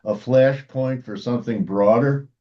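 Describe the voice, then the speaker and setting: A man's voice: mid-range voice, a little slow, dull audio. A man hosting an interview, asking casual questions in a tentative, roundabout way. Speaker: interviewer, host.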